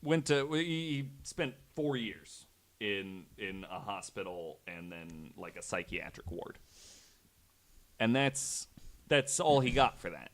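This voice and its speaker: A man with a fairly low voice tells a story as a podcast panelist. His delivery is casual and conversational, with hesitant, halting phrasing as he works from notes. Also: lively intonation, a bit bright.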